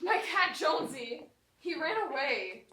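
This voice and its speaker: A woman's voice: high voice, big pitch swings, unhurried, pushed and bright, far from the mic, full character voice. A woman in a staged reading playing a worried, earnest pet owner with an anxious, pleading manner. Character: worried, earnest young pet owner seeking lost cat. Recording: echoey theatre, faint noise.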